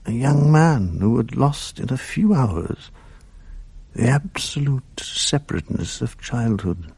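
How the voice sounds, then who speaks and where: An actor playing a grown man who narrates his memories of youth in a radio play, thoughtful and measured. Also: animated, gravelly, darkish tone, breathy edge, no room sound, heavily acted. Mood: musing. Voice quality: slow, measured, low-key delivery. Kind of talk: reflective first-person narration in a radio play.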